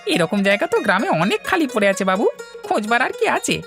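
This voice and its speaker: A woman's voice: a little high, big pitch swings, fast talker, fairly bright tone. A woman voicing a greedy, wheedling male village henchman in a cartoon, with an obsequious manner. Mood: eager, scheming. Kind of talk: cartoon voice acting. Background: background music.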